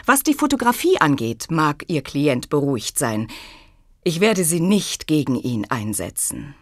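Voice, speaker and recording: A woman's voice: deep voice, sing-song, unhurried, dry recording, studio quality. An actress playing a clever, self-possessed young woman in a German audio drama, poised, formal and faintly teasing. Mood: resolute, gracious.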